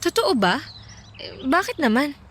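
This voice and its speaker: A woman playing a trusting, lighthearted young band member in a radio drama, gently chiding, with a Filipino accent. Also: high-pitched, sing-song, a little slow, a little hiss or hum, exaggerated voice. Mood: friendly, curious. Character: friendly, trusting young female singer in a band.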